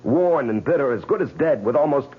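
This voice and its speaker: An actor playing a bitter, betrayed tough husband in an old radio crime drama, sardonic and intense. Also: male voice, medium pitch, lively intonation, brisk, husky. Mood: grim, brooding. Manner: hard-boiled, sardonic, dramatic. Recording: dull, hissy old radio recording.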